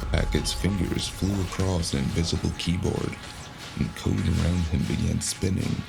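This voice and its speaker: A man with a deep, heavily creaky voice tells a scripted story in the first person. His delivery is gritty and dramatic. Loud music plays under the narration. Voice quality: gravelly. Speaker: narrator of a cyberpunk audio drama.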